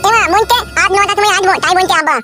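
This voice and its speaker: A cheeky little tattletale boy, high-pitched and insistent. He repeats himself quickly in cartoon style, over music. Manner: insistent, repetitive. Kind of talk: amateur comedy cartoon dialogue.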